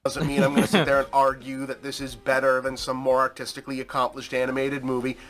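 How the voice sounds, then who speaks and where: A man's voice: medium pitch, flat delivery, fast, crowd noise. A man in his forties giving a film review, earnest and a bit defensive. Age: 40s. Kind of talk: video review clip.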